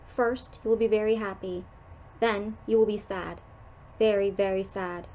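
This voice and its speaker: A woman reading aloud who voices an older female palm reader, grave and ominous, in slow, solemn fortune-telling tones. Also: medium pitch, steady tone, dark tone, lo-fi sound, slight background noise, close to an everyday voice.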